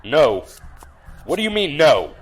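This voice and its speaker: A man's voice: on the high side, big pitch swings, unhurried, slightly rough, soft background music, heavily acted. A man playing a dutiful security lieutenant in an audio drama, with formal military delivery. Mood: baffled, protesting. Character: dutiful male security lieutenant reporting to superior.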